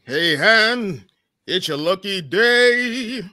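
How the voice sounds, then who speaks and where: A man acts a cheeky, flamboyant space gambler in a comedy script reading. The male voice is high and sharp, with animated swings. He teases at an unhurried pace. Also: exaggerated voice. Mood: teasing. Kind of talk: comic live table read.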